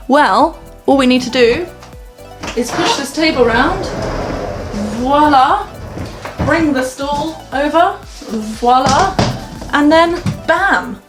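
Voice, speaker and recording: Young woman, vlogger: higher voice, chatty and self-mocking, talking straight to camera. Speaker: vlogger and online English teacher. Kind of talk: casual vlog talk to camera.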